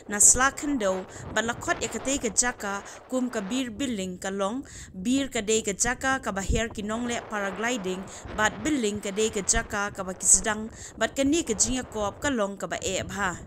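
A woman reading a scripted voice-over narration in an even, measured way. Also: mid-range voice, background noise.

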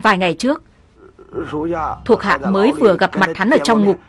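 A woman's voice: mid-range voice, lively intonation, moderate pace, a crowd in the background, natural voice. A woman voicing a humble, bewildered male prison-keeper in a costume drama dub, deferential and earnest.

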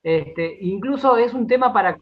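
Higher-voiced Argentine man, halting talker. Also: expressive, muffled recording. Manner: halting, circles back and repeats words as he explains. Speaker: interview guest, radio drama director and writer. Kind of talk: radio interview, conversational.

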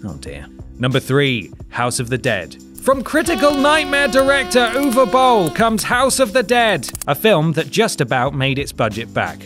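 A British man narrates a scripted countdown in a fairly high, very animated voice. He is sardonic, swinging between mock drama and dry asides, with music behind him. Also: unhurried. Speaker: video host narrator.

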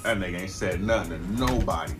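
Low-voiced Californian man, slangy and profane. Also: fast talker, music in the background. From Long Beach, California. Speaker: reaction video host. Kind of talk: casual reaction commentary. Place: small room with foam-panelled walls.

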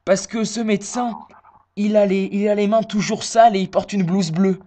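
A man's voice: high-pitched, steady tone, moderate pace, dark tone, natural voice. A man playing a confused, stubborn elderly customer, hesitant and naive, in a prank phone call. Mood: naive, puzzled. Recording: phone line with noise.

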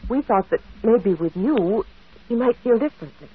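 An earnest, animated radio-drama lady. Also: fairly high pitch, normal speed, husky. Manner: earnest, polite. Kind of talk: old radio drama acting. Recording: tinny, band-limited old radio broadcast.

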